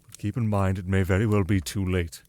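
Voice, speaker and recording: A man's voice: low-pitched, little pitch movement, fairly quick, darkish tone, no room sound, lightly acted. A man playing a protective, exasperated retainer to a noble lady in a fantasy audio drama, formal and dutiful in speech. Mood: reluctant, exasperated.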